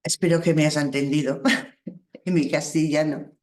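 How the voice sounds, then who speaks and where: Slow, reflective woman, Spanish. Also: sits low, steady tone, a little mellow. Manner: slow, halting, reflective, with small laughs. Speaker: workshop teacher. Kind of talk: spiritual workshop talk. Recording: roomy echo.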